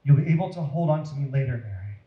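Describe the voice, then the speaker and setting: A man voicing a gentle, loving savior who speaks tenderly in a storybook. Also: mid-range voice, lively intonation, fast talker, reverberant, highs cut off, natural voice. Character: gentle, loving male savior consoling a friend.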